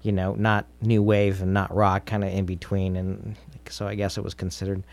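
A middle-aged man chatting casually as an interview guest, telling stories in a halting, easygoing way. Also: low-pitched, monotone, vocal fry, darkish tone. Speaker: interview guest, drummer in a band.

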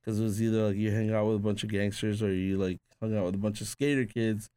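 Low, smooth-voiced Peruvian-born man telling stories. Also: fairly even intonation, fast talker, mellow, no room sound. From Peru. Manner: rambling, filler-heavy with frequent "like". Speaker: guest, tattoo artist. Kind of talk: casual conversational storytelling.

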